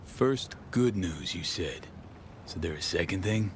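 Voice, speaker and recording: A street-smart mercenary man with a very deep voice. He talks in terse, slangy bursts, guarded and sardonic, in cinematic game style. Slight noise sits behind him. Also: expressive, unhurried. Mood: guarded, curious. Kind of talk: cinematic game acting, casual slangy dialogue.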